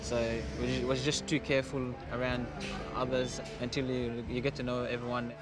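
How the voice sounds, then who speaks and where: A young man from South Sudan speaks in a flat, even tone, hesitating often with "um" and "like". Loud music fills the space behind his voice. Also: mid-range voice, moderate pace. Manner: hesitant, many "um" and "like". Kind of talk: documentary interview.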